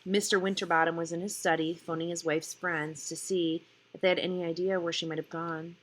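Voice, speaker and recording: A woman narrating a novel aloud in a measured manner, breaking sentences into short phrases with pauses. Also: on the low side, flat delivery, rough recording. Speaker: audiobook reader. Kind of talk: scripted story narration, read aloud.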